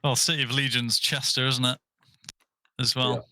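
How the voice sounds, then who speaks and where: A man's voice: medium pitch, flat delivery, normal speed, bright, forceful tone. A man chatting as a player in an online tabletop game, jokey and talkative. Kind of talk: casual chat at a game table.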